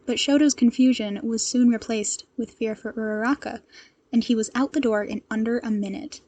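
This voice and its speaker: High, mellow, husky woman narrator. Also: steady tone, moderate pace. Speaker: fan fiction narrator. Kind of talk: expressive scripted story narration.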